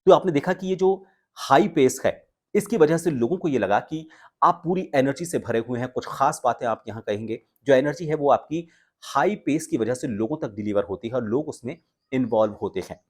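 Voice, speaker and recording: A man speaks as a voice coach teaching viewers. His voice sits at a medium pitch. He phrases things deliberately, setting key words apart with pauses in a measured, explanatory way. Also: a little mellow. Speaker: voice training instructor. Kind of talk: instructional talk on voice modulation, presenting to viewers.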